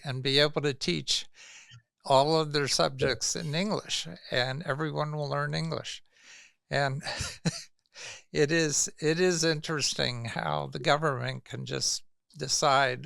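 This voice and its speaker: Gravelly slow-spoken male podcast host. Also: fairly high pitch, dry recording. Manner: curious, relaxed questioner. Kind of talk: casual interview.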